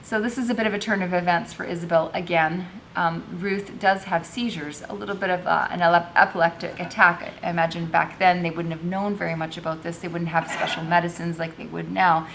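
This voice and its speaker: A woman with a slightly low voice reads a story aloud in the role of a teacher. She moves between narration and informal explanation. There is faint noise and rumble on the recording. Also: steady tone, fairly quick, gravelly, hardly any pauses, fairly bright tone. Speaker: teacher reading a novel aloud with commentary.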